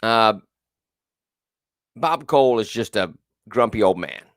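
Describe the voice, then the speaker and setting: A man's voice: lowish voice, animated, slow, fairly bright tone, no echo, clean studio sound. A male talk show host with a big, carrying voice, giving emphatic, repetitive political commentary and reading viewers' comments aloud. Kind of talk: live political call-in commentary.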